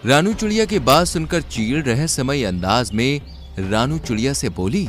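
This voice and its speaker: A man's voice: mid-range voice, lively intonation, normal speed. A man narrating a scripted children's cartoon story.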